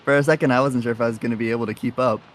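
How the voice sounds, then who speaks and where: A man acting as a young masked street stranger in an audio drama, breezy and teasing. He jokes casually while offering help, in a mid-pitched voice over faint background noise. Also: fairly even intonation, racing, natural voice.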